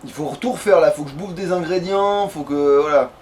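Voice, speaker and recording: Higher-voiced man thinking aloud while gaming. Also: brisk, a little mellow. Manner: thinks aloud, slangy and crude. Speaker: let's player. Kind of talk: casual gameplay commentary. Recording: faint background noise.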